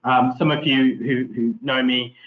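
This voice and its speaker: A middle-aged Australian man, a marketing professor giving a webinar lecture in a relaxed, chatty way with many fillers and restarts. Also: medium pitch, unhurried. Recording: tinny phone-like online audio.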